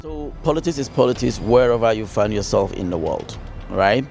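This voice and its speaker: A man's voice: fairly low pitch, animated, normal speed, gravelly, darkish tone, soft background music. A man from Ghana, a city councilor, giving earnest interview answers with fillers and repeated phrases.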